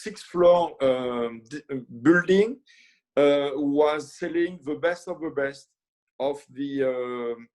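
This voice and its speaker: A man's voice: medium pitch, darkish tone. A middle-aged man from France with a French accent, a chef talking about his career in a casual interview, full of fillers and restarts. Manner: halting, many fillers and restarts. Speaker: chef and guest.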